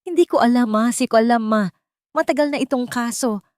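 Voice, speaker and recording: A woman's voice: fairly high pitch, brisk, a little mellow, no echo, studio quality, pitched above the performer's own voice, natural voice. A woman voicing an anxious adult son, agitated and strained, in a story. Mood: panicked. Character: stressed, anxious adult son in family trouble.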